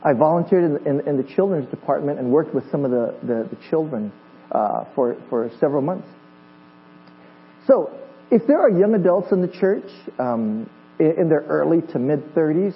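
Joking man from Hawaii preaching a sermon. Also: a little high. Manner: friendly, joking, with many fillers and restarts. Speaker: pastor. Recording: dull lo-fi church recording with mains hum.

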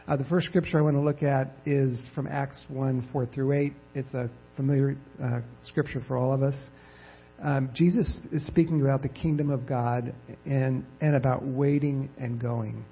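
A soft-spoken man giving a lay sermon as his church's missions president, halting with fillers and repeated words. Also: mid-range voice, vocal fry, a little hiss or hum. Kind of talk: lay sermon with scripture reading. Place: church sanctuary. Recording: dull lo-fi church recording.

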